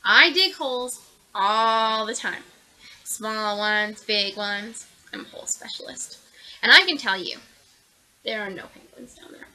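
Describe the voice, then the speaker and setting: A woman's voice: fairly high pitch, a little slow, sharp tone, rough recording. A woman voicing a grumpy, sleepy male mole who grumbles and lectures. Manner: grumbling, grouchy.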